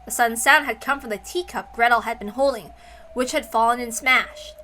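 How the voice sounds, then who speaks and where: A woman's voice: on the high side, fairly quick, sharp tone, a little hiss or hum. A woman reading a visual novel aloud as its narrator. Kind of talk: story narration.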